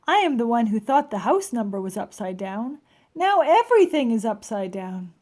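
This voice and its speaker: A woman's voice: fairly high pitch, sing-song, moderate pace, darkish tone. A woman voicing an earnest, cheerful, literal-minded woman helper in a children's storybook.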